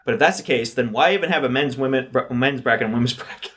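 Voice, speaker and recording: A man's voice: mid-range voice, fast, fairly bright tone. A man co-hosting a casual film podcast, thinking aloud as he rambles through his points with friends. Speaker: podcast co-host.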